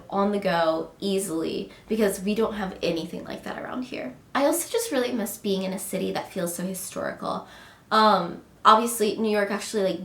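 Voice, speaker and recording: A young woman talks to her camera in a bubbly, chatty way, peppering her speech with "um" and "like". She has a cold, so she sounds a little stuffy, and her mid-pitched voice rings in an echoey room. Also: fairly bright tone. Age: young adult. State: nasal from a cold. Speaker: vlogger. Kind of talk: casual vlog chat.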